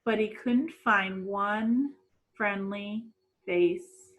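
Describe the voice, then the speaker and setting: A woman reading a children's picture book aloud in a dramatic, deliberate storytelling manner. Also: mid-range voice, fairly even intonation, fairly bright tone, rough recording. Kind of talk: expressive storybook read-aloud.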